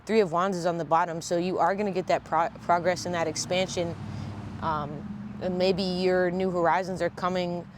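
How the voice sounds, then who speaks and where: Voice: medium pitch, slight background noise. Congested, blunt-talking tarot reader. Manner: casual, blunt and profane. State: congested from a sinus infection. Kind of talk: casual tarot reading. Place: at home.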